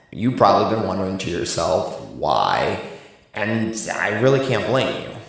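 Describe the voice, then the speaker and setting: Male actor as horror-story sadist: low, swooping delivery, chummy taunts, casual menace, heavily reverberant. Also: vocal fry, fairly bright tone, heavily acted. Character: gloating sadistic male stalker, mocking and falsely chummy. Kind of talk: villain monologue in horror audio story.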